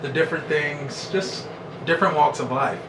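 A man's voice: on the high side, steady tone, moderate pace. An American man in his thirties, casually telling a story to camera as a vlogger. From United States. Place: small bedroom indoors. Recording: roomy echo, distant mic, noisy background.

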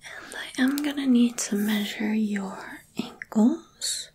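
A young woman speaking in a soft, breathy whisper as a wardrobe fitter in an ASMR roleplay, gentle, unhurried and reassuring, close to the mic. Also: a little high, a little hiss or hum. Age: young adult. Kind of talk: soft-spoken ASMR roleplay, personal attention.

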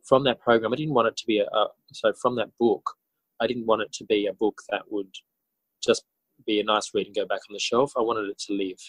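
An Australian man in his forties talks as a podcast guest. His voice sits fairly low, with a very dry sound. He tells things casually and reflectively, often pausing and rewording as he goes. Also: fairly even intonation, no echo, rough recording. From country New South Wales, Australia. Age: 40s. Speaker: interview guest, schoolteacher and author.

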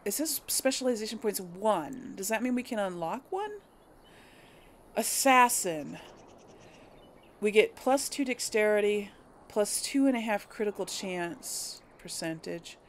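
A woman's voice: mid-range voice, lively intonation, unhurried. A woman doing casual game commentary, thinking aloud in a tentative way. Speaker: gaming video host and player. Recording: some background noise.

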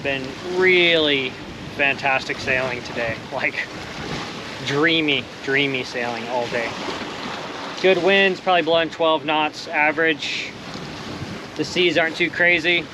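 A man in his forties chats easily to an action camera on the deck of a small sailboat at sea. His voice sits a bit high, loose and cheerful, full of little fillers. Steady wind and water noise wash over the windy outdoor recording. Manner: relaxed, upbeat, loose with fillers and soft laughs. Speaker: solo sailor vlogger. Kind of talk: casual vlog narration. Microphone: action camera close on the boat. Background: wind and water rushing past the hull.

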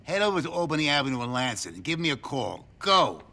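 Smug middle aged american spook boss from a video game, sarcastic, bossy, really animated pitch. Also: male voice, fast, gravelly, exaggerated voice. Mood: irritated, commanding. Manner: sardonic, commanding, clipped. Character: cynical, smug middle-aged government agent handler. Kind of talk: video game cutscene acting.

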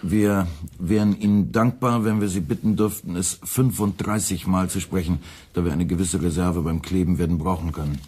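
Husky, meticulous young radio editor. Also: male voice, low-pitched, steady tone, a little mellow. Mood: pedantic, formally polite. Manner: formal, meticulous, deliberately enunciated. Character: dutiful young male radio editor, politely precise, quietly needling. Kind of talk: radio play dialogue.

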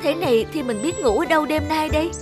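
A woman's voice: high voice, fast talker, lightly acted. A woman voicing a plucky young peasant daughter lost in a fairy-tale cartoon, politely spoken and wondering aloud. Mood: puzzled. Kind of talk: animated fairy tale acting. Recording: music behind the voice.

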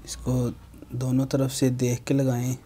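A man's voice: medium pitch, completely flat delivery, a little slow, warm tone, slight background noise. A young man, giving step-by-step how-to narration in a plain, instructional manner. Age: young adult. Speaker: how-to video host.

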